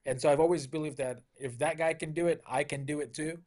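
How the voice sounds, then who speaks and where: A man's voice: on the high side, fairly even intonation, fast, a little mellow. A middle-aged man from Malaysia, a car dealership owner, telling stories in an upbeat, conversational interview style with frequent "you know" fillers.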